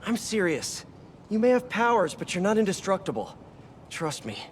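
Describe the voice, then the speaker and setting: A man's voice: high-pitched, sing-song, moderate pace, a little hiss or hum. An actor playing a young, wisecracking male superhero and earnest mentor, in glib conversational video game voice acting. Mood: earnest, caring. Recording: loud music behind the voice.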